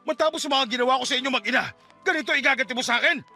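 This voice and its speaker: Man acting very high-pitched, wicked, manipulative stepfather. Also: racing, sharp tone, exaggerated voice. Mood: indignant. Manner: wheedling, menacing, melodramatic. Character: wicked, manipulative stepfather, begging then gloating. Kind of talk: Tagalog radio drama acting. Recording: music bed under the voice.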